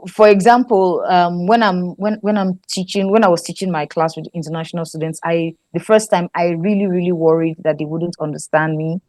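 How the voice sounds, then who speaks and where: A Nigerian woman with a lowish voice, talking through ideas in a relaxed interview. She laughs easily and trails off and restarts her sentences. The sound is close and dry, with no room echo. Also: fairly quick, few pauses. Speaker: guest scriptwriter. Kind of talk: casual interview chat.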